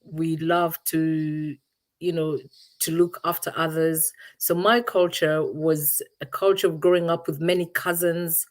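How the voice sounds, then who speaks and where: Low-voiced thoughtful woman from Uganda. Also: flat delivery, unhurried. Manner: thoughtful, searching for words with many restarts. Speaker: guest. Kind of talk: reflective interview answers.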